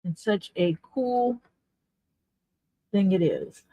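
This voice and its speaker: A woman's voice: medium pitch, animated, drawn-out words, no echo, dull audio. A woman chatting casually on a livestream while she works on leather, explaining her tools step by step. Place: home workspace.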